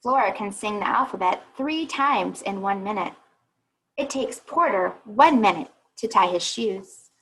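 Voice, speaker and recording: A woman reading a children's picture book aloud like a teacher, pausing to think aloud and explain. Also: mid-range voice, expressive, breathy edge, low-quality recording.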